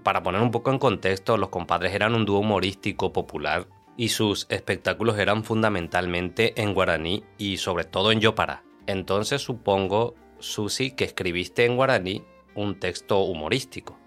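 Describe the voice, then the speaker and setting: A man with a fairly low voice reads context notes and asks questions in a calm, explanatory tone. Faint guitar music plays behind him. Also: moderate pace, few pauses. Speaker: interviewer and narrator. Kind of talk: scripted narration and interview questions.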